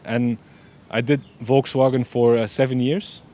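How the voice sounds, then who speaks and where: A man's voice: medium pitch, little pitch movement, a little slow, mellow. A young man from Belgium, an eager race director chatting as an interview guest. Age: young adult. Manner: eager, repeats "yeah" often, loose non-native grammar. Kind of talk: casual interview chat.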